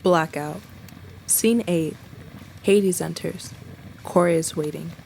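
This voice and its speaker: Female narrator for radio drama stage directions: low, creaky, slow, over faint noise. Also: darkish tone. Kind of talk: scripted narration.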